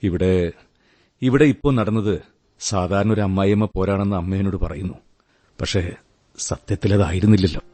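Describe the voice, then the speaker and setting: Low, very mellow, brooding husband. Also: male voice, normal speed, long pauses. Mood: resigned, brooding. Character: resigned, bitter, brooding husband and son. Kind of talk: Malayalam radio play acting.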